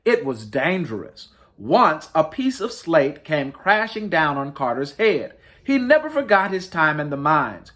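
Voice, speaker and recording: A man's voice: fairly high pitch, very animated, a little slow, few pauses, fairly bright tone. A man hosting a story time, reading a picture book aloud to families in a dramatic, emphatic way. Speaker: children's storybook reader, host.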